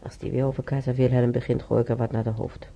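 A woman's voice: a bass voice, level tone, quick pace, vocal fry, dark tone, muffled recording, exaggerated voice. An actress playing a wry, chatty old woman who is a hospital patient in a radio play. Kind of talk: naturalistic radio play acting.

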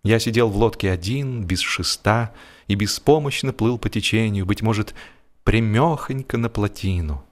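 A man reads a humorous story as an audiobook narrator, in an expressive, animated storytelling manner. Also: fairly low pitch, moderate pace.

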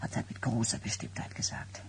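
An actress playing an exhausted, foreboding expectant wife in a radio drama, brooding in a breathy, creaky near-whisper. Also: female voice, close to an everyday voice. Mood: bitter, foreboding. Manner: slow, brooding, intense. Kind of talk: 1990s German radio drama acting.

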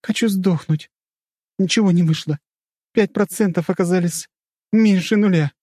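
Casting: hot-headed young woman played by a man, shrill high register, foul-mouthed, desperate, commanding, audiobook drama. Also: measured pace, dry recording, clean studio sound. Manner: shouting, swearing, frantic. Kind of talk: dramatic audiobook character acting.

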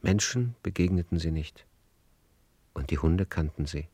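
Deep-voiced male narrator, dry and measured. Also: dark tone, no echo. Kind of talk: literary radio play narration.

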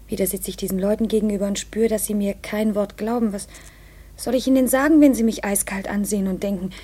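An actress playing an anguished young woman under suspicion in a 1950s German radio play, with a soft, dark, husky voice. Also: mid-range voice, fairly even intonation, quick pace, close to an everyday voice. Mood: despairing. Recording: 1950s radio drama recording.

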